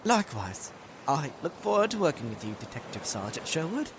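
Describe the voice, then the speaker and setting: An actor playing a timid, deferential young trainee detective in an audio drama, hesitant and stammering. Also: male voice, on the high side, big pitch swings, fairly quick, noisy background. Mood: polite, nervous. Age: young adult.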